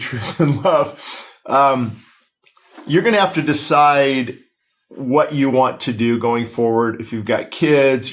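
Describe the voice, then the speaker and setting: Middle-aged male pastor reading his own book into a close podcast mic; loose, conversational, lots of ums and restarts, mid-range voice. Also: mid-range voice. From Southern California. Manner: conversational, frequent fillers and self-corrections. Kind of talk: casual reading with asides. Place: small studio with posters on the wall. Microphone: desk-mounted podcast microphone.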